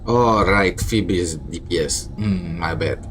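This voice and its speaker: Low voiced guy streaming a game, casual reactions, music going behind him. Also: slow pace, fairly bright tone.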